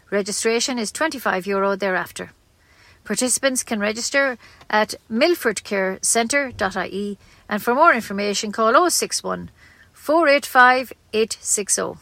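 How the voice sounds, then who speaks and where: A woman's voice: on the high side, pushed and bright, no room sound. An Irish woman reading a scripted local news bulletin as a community radio newsreader.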